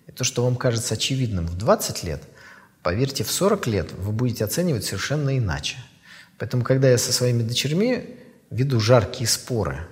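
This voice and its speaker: A man's voice: fairly low pitch, big-room sound. Reflective man in his fifties. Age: 50s. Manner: measured, thoughtful, with pauses and fillers. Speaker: author. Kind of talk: reflective interview answers.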